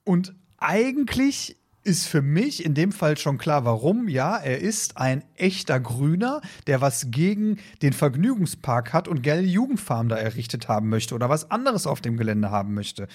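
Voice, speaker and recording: A man's voice: fairly high pitch, very animated, normal speed, hardly any pauses, darkish tone. A man co-hosting a podcast, casually discussing in a rambling way, repeating words and slipping into short acted quotes. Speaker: podcast co-host. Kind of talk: casual discussion of an audio drama.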